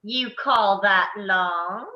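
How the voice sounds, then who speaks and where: A woman playing a menacing wicked witch at a script read, taunting in a drawn-out, mocking delivery. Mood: gloating menace. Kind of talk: live table read of a film script. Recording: roomy, dull low-fidelity microphone.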